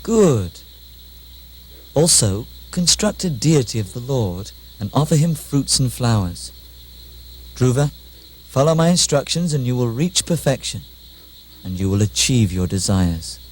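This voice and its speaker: A man playing a wise, calm sage in a puppet show, with formal, measured, instructive delivery. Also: medium pitch, slight background noise, lightly acted. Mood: reassuring, instructing.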